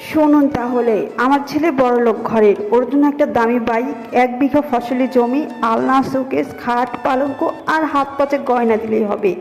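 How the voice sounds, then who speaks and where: Woman voicing a high-pitched haughty old mother-in-law. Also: fairly even intonation, normal speed, darkish tone, poor audio. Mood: haughty, demanding. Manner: brusque, scornful. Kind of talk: Bengali moral-story cartoon dialogue.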